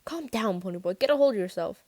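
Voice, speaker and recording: A woman's voice: on the low side, fairly even intonation, fast, mellow, no echo, natural voice. A woman voicing a tense, earnest teenage boy in a story reading, speaking in terse phrases. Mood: urgent, controlled. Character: quiet, tense teenage boy, steady but rattled. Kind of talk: audiobook-style character dialogue.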